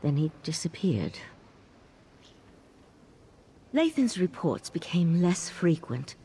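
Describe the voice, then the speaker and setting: An actress playing a troubled, sardonic mage in a video game, speaking in a weary, confiding way. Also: female voice, lowish voice, big pitch swings, measured pace, dark tone, slight background noise. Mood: worried, resigned. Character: troubled, sardonic female Psijic mage, grieving mother. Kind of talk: video game character dialogue. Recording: game music behind.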